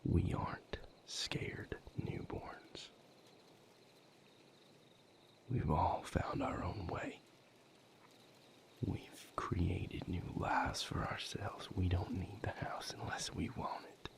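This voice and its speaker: A man playing an intense, brooding vampire lover in an intimate audio roleplay, speaking in a gravelly, breathy near-whisper. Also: sits low, little pitch movement, exaggerated voice. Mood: weary, resolute. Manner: slow, intimate, emotionally intense.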